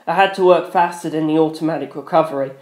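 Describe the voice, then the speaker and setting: Higher-voiced man narrating dramatically, roomy sound. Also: quick pace, darkish tone. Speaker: audiobook-style narrator. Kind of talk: dramatic first-person story narration. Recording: roomy echo.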